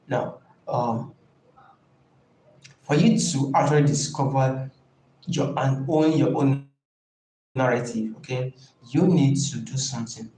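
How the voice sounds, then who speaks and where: A man coaching a live online class in an informal, halting and deliberate way, stressing key points by repeating them. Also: medium pitch, warm tone. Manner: emphatic, repeats key phrases. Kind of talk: informal live teaching talk. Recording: echoey room, faint background noise.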